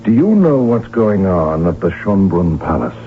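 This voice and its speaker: Deep-voiced imperious archduke, old radio. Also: male voice, very animated, a little slow, slight background noise. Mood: bitter. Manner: commanding, intense, formal. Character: imperious, passionate archduke, ardent and impatient. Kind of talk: old-time radio drama acting. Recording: muffled old radio broadcast, music behind.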